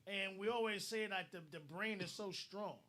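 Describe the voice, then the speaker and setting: High, bright man, blunt advice-giver, repeats himself as he gets going. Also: normal speed. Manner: blunt, advice-giving, repeats words as he starts a thought. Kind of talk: casual online discussion.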